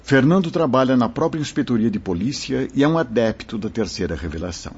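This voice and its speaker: An actor playing a wise, kindly spirit mentor in a radio drama, speaking in a solemn, formal, explaining way with a husky voice. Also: male voice, medium pitch, a little mellow. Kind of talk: radio drama acting, declamatory. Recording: muffled lo-fi radio drama recording.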